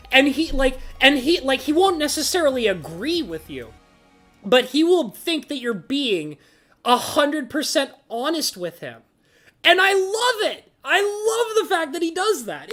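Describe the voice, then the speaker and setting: A woman chatting casually on a live stream, gushing, laughing often and swearing freely, with lots of filler words. Her voice is scratchy from laughing so hard. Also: medium pitch. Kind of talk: casual livestream chat, reading chat messages.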